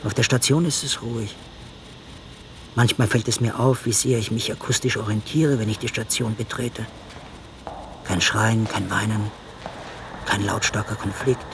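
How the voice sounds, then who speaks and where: An actor in a radio drama playing a reflective, gently ironic hospital psychiatrist with a dry, understated manner. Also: male voice, fairly low pitch, steady tone, normal speed, long pauses. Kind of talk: radio drama narration and dialogue. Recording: clear ambient noise, vehicle sounds.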